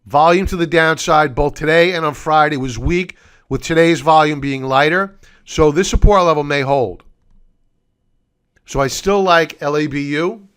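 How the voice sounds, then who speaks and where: A hoarse, middle-aged man, losing his voice, hosting a solo stock-market recap over charts and walking viewers through his reasoning. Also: on the high side, fairly bright tone. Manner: deliberate, explanatory, addresses viewers as folks. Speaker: market commentary host.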